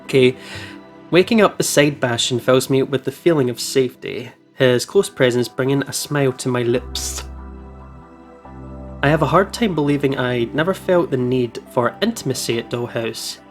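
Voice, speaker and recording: A man hosting a let's play, casually commenting and reading visual novel text aloud in an informal, jokey way. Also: mid-range voice. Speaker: video game let's play host.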